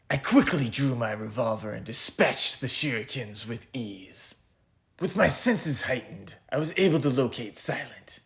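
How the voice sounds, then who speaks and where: A man narrates a short story of his own writing. His delivery is theatrical and suspenseful, with a very lively, wide-ranging pitch. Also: vocal fry. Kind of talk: dramatic scripted story narration.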